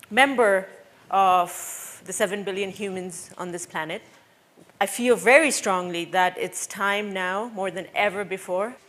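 A woman's voice: mid-range voice, fairly bright tone. A woman from Bangladesh, in her thirties, giving a personal talk on stage before an audience, earnest and reflective with frequent hesitations. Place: stage before a seated audience in a dark hall. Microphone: headset mic.

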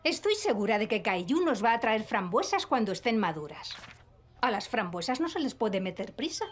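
Casting: kind, patient cartoon nursery teacher, Castilian Spanish, slightly high, animated delivery. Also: female voice, normal speed, slight background noise. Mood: encouraging. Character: encouraging female preschool teacher, kind and patient. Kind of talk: children's cartoon dub acting.

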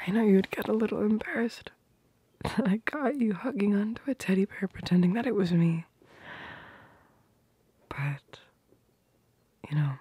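A woman's voice: fairly low pitch, dark tone, no room sound, heavily acted. A woman playing a tender, protective girlfriend in a romantic ASMR roleplay, whispering intimately and affectionately, very close to the microphone. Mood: tender, wistful. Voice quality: breathy, whispery. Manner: slow, intimate, affectionate murmuring. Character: tender, protective, doting girlfriend murmuring at bedtime.

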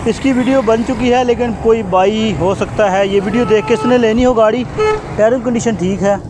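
A man with a high voice gives brisk, repetitive salesman patter while he walks around used cars. He is recorded outdoors on a camera mic at a busy roadside bazaar, with clear street noise behind him. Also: fairly even intonation. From Pakistan. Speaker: used-car video host. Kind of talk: walkaround car sales commentary.

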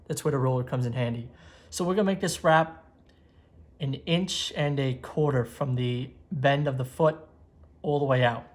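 A man in his twenties giving a step-by-step tutorial at an indoor workbench, recorded on a lapel mic. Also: medium pitch. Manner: steady step-by-step instruction. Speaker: magazine editor giving a tutorial. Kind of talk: how-to tutorial.

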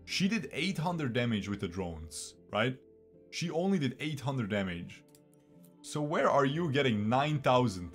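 A man's voice: mid-range voice, big pitch swings, measured pace, faint music behind. Chatty young man streaming a game. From Bosnia, raised in Switzerland. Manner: chatty, talks to his viewers, repeats himself. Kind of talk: live gameplay commentary. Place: small room indoors.

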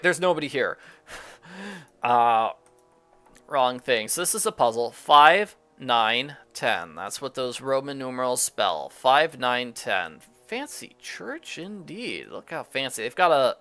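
Guy doing a casual game playthrough, jokey, thinks out loud, pitch goes all over. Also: no echo. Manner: jokey, musing aloud. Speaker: host of a game walkthrough.

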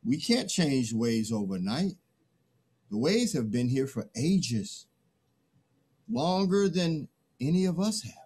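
A man's voice: medium pitch, very animated, takes it slowly, a little mellow, breathy edge, exaggerated voice. A middle-aged man in a play reading, playing a conscientious villager who pleads earnestly and hesitantly for the old ways. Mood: earnest, troubled. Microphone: computer mic on video call.

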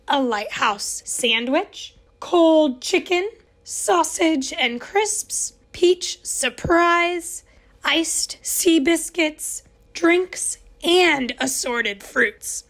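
A woman reading a children's picture book aloud at story time, gentle and expressive, lingering on key words. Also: high-pitched. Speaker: storytime reader.

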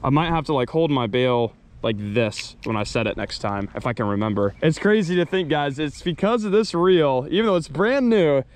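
A young man filming his own fishing trip at an outdoor pond on a body-worn camera, chatting excitedly to viewers and laughing often. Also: fairly high pitch, moderate pace, few pauses. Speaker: fishing vlogger. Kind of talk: casual vlog commentary. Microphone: body-worn action camera.

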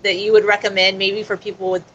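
A young woman interviews a guest on a video call, her questions polite and halting. Faint room hum sits behind her. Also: medium pitch, little pitch movement, fairly bright tone, lo-fi sound. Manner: polite, hesitant questions with ums. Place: room indoors.

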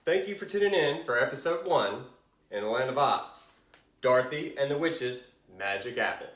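A man's voice: medium pitch, lively intonation, normal speed, a bit bright, reverberant, poor audio. A man in his thirties giving a scripted introduction to camera as a presenter in a bare room. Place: small indoor room, bare wall.

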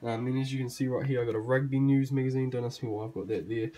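Laid-back young man, slightly hoarse. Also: mid-range voice, steady tone, fast talker, background noise. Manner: laid-back, swears casually, rambling asides. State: losing his voice, clearing throat. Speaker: gaming channel host. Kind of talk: casual room tour vlog. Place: bedroom gaming setup. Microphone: handheld phone camera.

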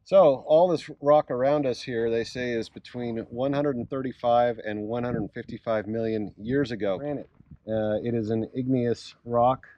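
Man, middle-aged, American accent, medium pitch, laid-back travel vlog host, halting casual commentary, outdoor camera audio, clear background noise. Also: warm tone, dull audio. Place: outdoors in open desert.

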